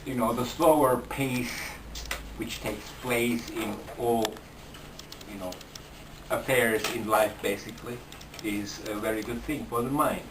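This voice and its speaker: A man with a Finnish accent, speaking English slowly and haltingly and restarting his sentences often. He sits well back from the microphone, and the room around him sounds echoey. His pitch sits in a middle range. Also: steady tone, background noise. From Finland. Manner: slow, halting, with repeated restarts. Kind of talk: casual interview talk. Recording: roomy sound from a distant mic.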